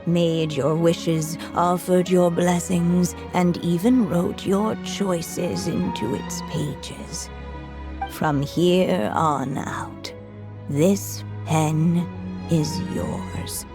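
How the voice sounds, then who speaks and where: A woman voicing a tender, fading magical echo of a mother in a video game, speaking gently and solemnly like a storyteller. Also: lowish voice, drawn-out words, over music. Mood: solemn, loving. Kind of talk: dramatic video game voice acting.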